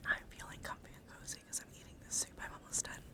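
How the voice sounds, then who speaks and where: Softly whispering woman, soothing ASMR. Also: very fast. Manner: soothing, gentle, encouraging. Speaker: livestream host. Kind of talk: whispered ASMR guided relaxation.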